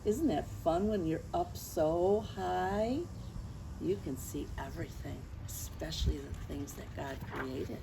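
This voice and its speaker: An older woman, in her sixties or beyond, reading a picture book aloud to children in a gentle, expressive way with conversational asides. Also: sits low, slow pace, gravelly, noisy background. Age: 60s or older. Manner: gentle, expressive, addressing children with tag questions. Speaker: children's storyteller.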